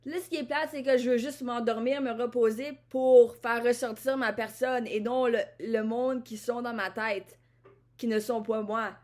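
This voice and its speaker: A woman's voice: on the high side, steady tone, normal speed, a little mellow. A woman from Ottawa talks to the camera in a rambling, introspective vlog monologue, often repeating and restarting her phrases. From Ottawa, Canada. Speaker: vlogger.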